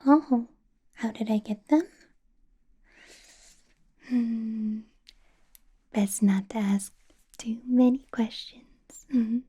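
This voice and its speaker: A woman playing a doting, possessive female vampire boss in an intimate ASMR roleplay, coaxing and flirting in a breathy whisper. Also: a little high, fairly even intonation, drawn-out words, pauses often, dark tone, exaggerated voice. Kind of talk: ASMR roleplay, personal attention.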